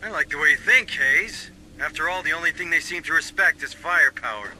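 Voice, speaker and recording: An actor playing a confident, commanding marine sergeant in a military video game, giving brisk orders with cocky humor. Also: male voice, fairly high pitch, pushed and bright, slight background noise, low-quality recording. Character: gruff, confident marine sergeant commanding his squad in battle.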